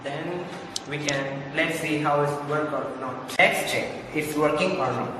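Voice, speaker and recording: A teenage boy from Sri Lanka, a student presenter walking through a step-by-step craft demonstration in simple phrasing with pauses, recorded in an indoor classroom. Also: a little high. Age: teens. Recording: echoey room, far camera, noisy.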